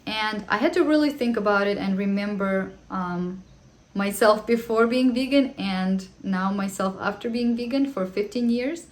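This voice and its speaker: A woman in her thirties who grew up in Ukraine speaks English with a Ukrainian accent, Russian being her first language. She talks in a chatty, earnest way with the odd filler, answering viewer questions in a casual vlog. Her pitch sits in the middle of the range. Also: normal speed. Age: 30s. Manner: chatty and earnest, with the odd filler and slightly non-native phrasing. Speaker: vlogger. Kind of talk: casual Q&A monologue.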